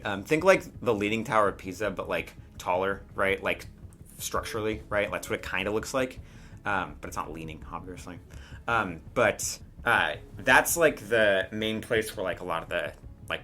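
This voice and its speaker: A man with a fairly low voice and a bright, forward tone runs a tabletop role-playing game. He narrates off the cuff in a conversational style, often checking that his players follow. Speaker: game master.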